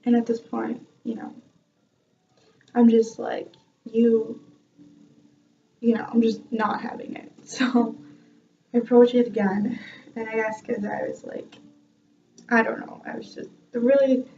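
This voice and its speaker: A woman's voice: on the high side, level tone, unhurried, gravelly, long pauses, dark tone, a little hiss or hum. Laughing young woman, dull distant sound. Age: young adult. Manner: chatty, self-mocking, laughs often. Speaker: vlogger and music student. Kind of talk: casual storytelling vlog.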